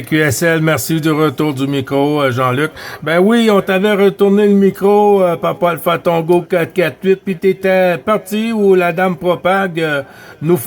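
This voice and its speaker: A man's voice: high-pitched, steady tone, measured pace. A man from Quebec with a Quebec French accent, working as an amateur CB operator, chatting casually and calling stations. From Quebec, Canada.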